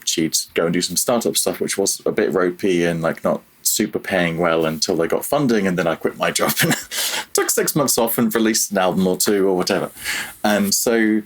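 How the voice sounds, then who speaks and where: A man's voice: deep voice, normal speed. A man talking casually as an interview guest, reflective and self-deprecating about himself. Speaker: podcast guest, freelance audio programmer.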